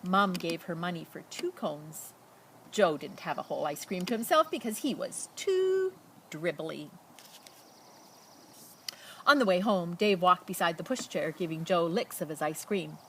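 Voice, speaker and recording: A woman reads a children's picture book aloud expressively, with dramatic emphasis and pauses and casual asides to her listeners. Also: on the low side, expressive, fairly bright tone, slight background noise. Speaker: storybook reader.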